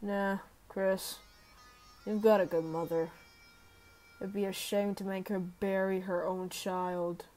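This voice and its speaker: A woman voicing a gruff, sullen teenage girl monster bully for an amateur video game demo, with a tough, sarcastic, slangy drawl. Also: mid-range voice, little pitch movement, slow pace, dark tone, natural voice. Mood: menacing.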